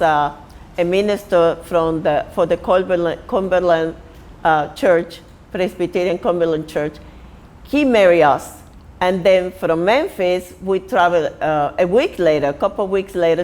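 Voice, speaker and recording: A woman in her sixties from Puerto Rico, with a Puerto Rican accent, telling her life story as an artist and printmaking teacher. She speaks in an unhurried way, with many pauses and restarts. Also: lowish voice, a little mellow. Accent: Puerto Rican Spanish. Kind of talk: personal life story told to camera.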